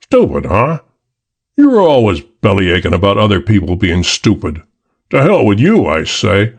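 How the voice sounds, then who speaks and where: A man's voice: medium pitch, sing-song, measured pace, gravelly, slightly rough, exaggerated voice. A man voicing a brash, blustering male gangster who talks in tough slang. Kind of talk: audiobook character reading.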